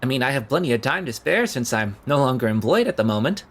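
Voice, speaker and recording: Casting: young man played by a male actor, nervous, prying and hesitant, very animated mid-range voice for audio drama. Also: medium pitch, fast. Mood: eager, awkward. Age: young adult. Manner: hesitant, stammering, rambling.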